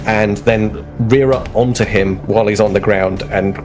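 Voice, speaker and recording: A man's voice: fairly low pitch, fairly even intonation, normal speed, music in the background, poor audio. A man playing a tabletop role-playing game, casually talking through his character's moves. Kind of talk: casual chat, describing own character's moves.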